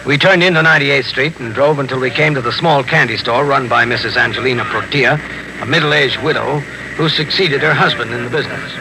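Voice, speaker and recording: An actor in a 1950s radio drama playing a methodical, matter-of-fact police captain who narrates and questions in a measured way. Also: male voice, medium pitch, close to an everyday voice. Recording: noisy vintage radio broadcast recording.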